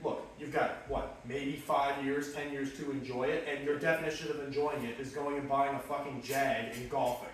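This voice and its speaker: A young man co-hosting a casual podcast, talking fast and animated and swearing often. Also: medium pitch, a little hiss or hum. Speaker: podcast co-host. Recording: echoey room, mic far off.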